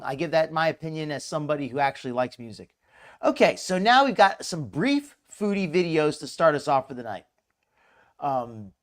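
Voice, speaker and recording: A slightly hoarse man hosting a live reaction stream, chatty and mocking, greeting viewers in chat by name. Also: on the high side. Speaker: commentary livestream host. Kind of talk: casual live reaction commentary.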